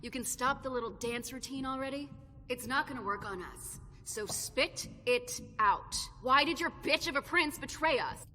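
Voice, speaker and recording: A woman's voice: high voice, normal speed, pushed and bright. An actress playing a hostile, hot-tempered vampire henchwoman in a video game, blunt and sneering. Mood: scornful. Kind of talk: dramatic video game acting. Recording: long hall reverb, faint noise.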